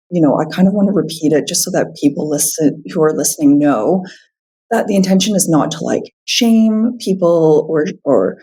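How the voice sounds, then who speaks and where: Slightly hoarse woman hosting a podcast. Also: low-pitched, mellow. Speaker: podcast host. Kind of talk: podcast conversation and scripted intro.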